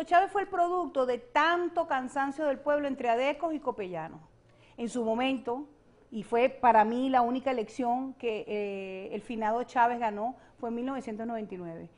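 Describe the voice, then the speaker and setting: A Venezuelan woman, a political analyst and guest, speaking with passion and emphatic repetition in a studio interview. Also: high voice. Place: television studio set.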